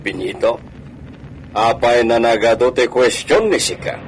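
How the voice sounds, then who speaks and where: A man playing a wealthy, courteous hacienda gentleman in an Ilocano radio drama, formal and measured, peppering his speech with Spanish. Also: medium pitch, a little hiss or hum, natural voice, low-quality recording. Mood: stern, instructing.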